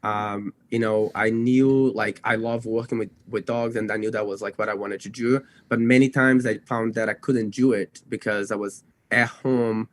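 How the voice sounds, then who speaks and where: A man's voice: on the low side, steady tone, normal speed, few pauses, dry recording. A young man from Brazil, a native Portuguese speaker, telling his own story casually as a podcast guest, rambling and often correcting himself. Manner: rambling, self-correcting, frequent "you know" and "like". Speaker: podcast guest, dog trainer.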